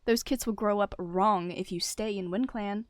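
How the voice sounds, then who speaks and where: A woman's voice: no echo, clean studio sound, lightly acted. A woman voicing a scheming female cat leader with a controlled, menacing growl in an animal roleplay story. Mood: cold, pressing. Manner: low growling, slow, controlled.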